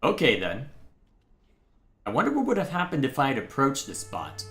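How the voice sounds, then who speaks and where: A man's voice: medium pitch, huge pitch swings, moderate pace, fairly bright tone, roomy sound. A man from Texas hosting a live game stream, thinking aloud in a casual, musing way. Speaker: livestream host playing a game. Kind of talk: casual live gameplay commentary.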